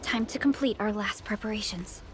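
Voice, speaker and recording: A woman's voice: medium pitch, normal speed, soft background music, lightly acted. An actress voicing an earnest, thoughtful and dutiful woman protector in a video game, speaking seriously and formally.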